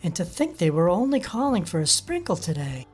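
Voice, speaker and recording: A man putting on a bright young woman's voice. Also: high-pitched, very animated, fairly quick, slightly rough, soft background music, pitched above the performer's own voice, heavily acted. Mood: friendly. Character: friendly young woman house hunter. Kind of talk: audiobook character voice.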